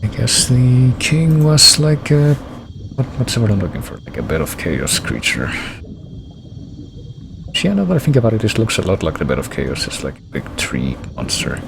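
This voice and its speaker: A man's voice: low voice, gravelly, background noise. A man streaming a video game, rambling and thinking aloud as he plays. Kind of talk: gameplay commentary.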